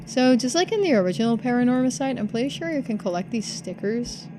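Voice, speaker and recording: Lively high-voiced woman playing a game. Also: quick pace, music in the background. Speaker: streamer reading a game aloud. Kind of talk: voiced visual novel playthrough with commentary.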